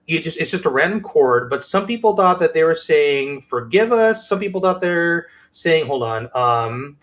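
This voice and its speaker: Higher-voiced man in casual podcast chat. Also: animated, moderate pace, fairly bright tone. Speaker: podcast co-host. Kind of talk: casual show-and-tell chat.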